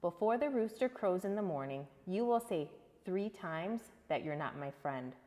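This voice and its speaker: Woman as a calm, solemn teacher, echoing hall. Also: on the low side, normal speed, close to an everyday voice. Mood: solemn warning. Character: calm, authoritative male teacher Jesus, kindly and knowing.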